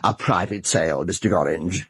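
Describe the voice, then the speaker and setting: An actor playing a hesitant, deferential British antique dealer in a radio drama, obliging and halting. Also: male voice, deep voice, slightly rough, no room sound. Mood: obliging, selling. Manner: hesitant, obliging, stammering with ers.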